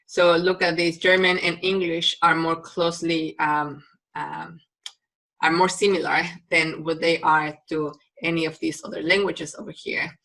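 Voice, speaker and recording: A woman's voice: low-pitched, little pitch movement, unhurried, vocal fry, fairly bright tone, poor audio. A woman from Mexico with a Mexican Spanish accent and Spanish as her first language, giving a recorded biology lecture with frequent ums and restarts.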